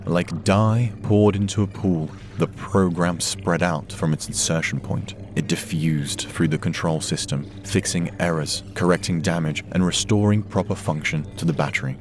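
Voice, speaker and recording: A man narrating a dramatic science fiction story from a script in a measured, solemn way. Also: low-pitched. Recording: music behind the narration.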